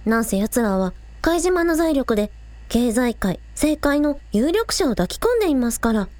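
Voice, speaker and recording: Woman as a spirited high-voiced village idol. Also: sing-song, normal speed, darkish tone, dry recording. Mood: earnest. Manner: polite to superiors, breezy with friends. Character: spirited, earnest young village idol, younger sister. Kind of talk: radio drama acting.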